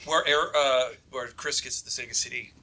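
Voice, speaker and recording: A man's voice: mid-range voice, fairly even intonation, normal speed, pushed and bright, rough recording. A man co-hosting a show in casual chat, rambling emphatically and circling back over his points. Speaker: show co-host.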